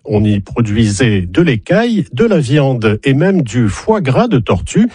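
A man's voice: mid-range voice, sing-song, measured pace, a little mellow, husky, no room sound, clean studio sound. A man presenting a regional radio news bulletin in a scripted reading style, with wry, ironic asides.

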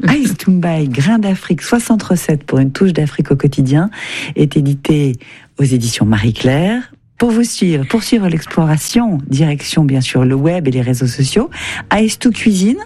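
A woman's voice: low voice, very animated, normal speed, warm tone, breathy edge. A woman hosting a radio interview in a conversational style. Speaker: radio host.

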